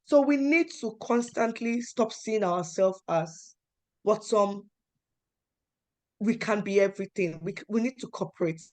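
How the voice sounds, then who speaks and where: A young adult woman from Nigeria with a Nigerian accent, telling personal stories at a talk in an animated way and acting out the dialogue. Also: mid-range voice, a little slow. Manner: animated, acts out quoted dialogue with emphatic repetition. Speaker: panel speaker.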